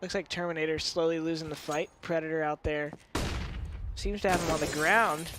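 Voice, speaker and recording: High-pitched nasal male esports caster. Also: fairly even intonation, fairly quick, background noise. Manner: analytical, chatty, joking asides. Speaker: esports commentator. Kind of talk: play-by-play and analysis commentary.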